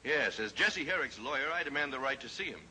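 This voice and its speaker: An actor playing a pompous, indignant crooked lawyer in a 1950s radio drama. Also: male voice, mid-range voice, lively intonation, quick pace, bright, forceful tone, slight background noise, poor audio.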